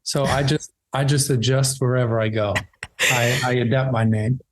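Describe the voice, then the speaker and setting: A man, talking easygoingly as a podcast guest over a remote call, with fillers and pauses. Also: medium pitch, fairly even intonation, noisy background. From Belgium. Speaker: podcast guest, documentary filmmaker. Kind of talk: casual interview chat. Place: home room with window.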